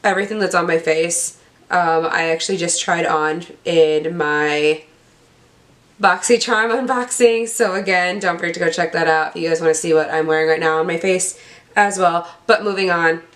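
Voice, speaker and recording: Hoarse young woman chatting casually. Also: fairly low pitch, normal speed, a bit bright. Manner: chatty, rambling, stumbles over product names. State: hoarse from a cold. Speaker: beauty vlogger. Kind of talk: casual unboxing chat.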